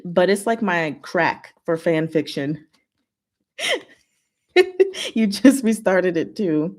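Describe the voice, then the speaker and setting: A woman hosting a casual chat and Q&A, reading and answering comments in a giggly way with emphatic short verdicts. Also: medium pitch. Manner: giggly, animated asides with emphatic one-word verdicts. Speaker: host of a chat and Q&A stream.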